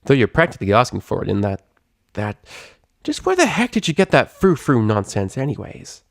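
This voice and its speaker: A man's voice: medium pitch, wildly swooping pitch, a little fast, vocal fry, dry recording, clean studio sound. A man voicing a brash, irritable young female pegasus pony from a cartoon story, with a cracking voice and slangy, blustering delivery. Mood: indignant. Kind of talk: animated character voice in a story reading.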